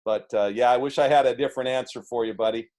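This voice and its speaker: A man with a booming voice answering press questions as a head coach, earnest and halting with restarts, on a video call. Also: fairly even intonation, darkish tone.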